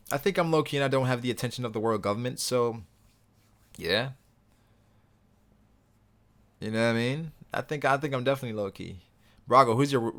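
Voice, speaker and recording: A young man hosting a casual livestream, reading viewer comments aloud and answering them in a relaxed, rambling way. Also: lowish voice. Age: young adult. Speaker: livestream host reading chat.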